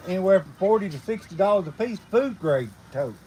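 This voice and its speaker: A man's voice: sits high, animated, a little fast, dark tone, slight background noise. A man talking casually and rambling during a homesteading live stream, outdoors while sharpening blades. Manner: folksy, rambling, lots of fillers. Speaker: homesteading vlogger.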